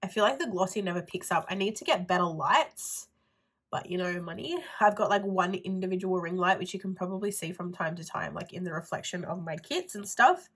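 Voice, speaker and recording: A woman's voice: fairly low pitch, a little fast, fairly bright tone, husky. A woman with a stuffy nose and a nasal voice, chatting casually through a planner haul video while she handles her items. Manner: chatty, rambling with "like" fillers. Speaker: planner vlogger.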